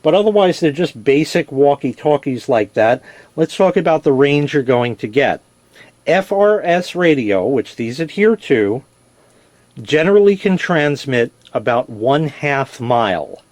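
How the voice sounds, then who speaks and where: A man's voice: mid-range voice, sing-song, measured pace, a little mellow, no echo. A man giving a casual, plain-spoken product demonstration, explaining as he goes and correcting himself. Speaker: product reviewer. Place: indoors in a basement.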